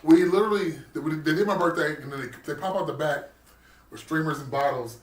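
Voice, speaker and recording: A man's voice: a little high, brisk. Joking radio host, man. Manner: joking, storytelling, slangy. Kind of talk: casual radio chat.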